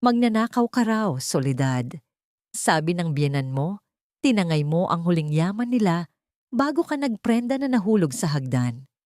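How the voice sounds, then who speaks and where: A woman narrator plays an old tycoon father in an audio drama. He is solemn and deliberate, full of regret, with a cold, vengeful edge. The sound is dry and close. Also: lowish voice, sing-song, darkish tone, no echo. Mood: cold disgust. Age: older. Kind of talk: dramatic audio story dialogue.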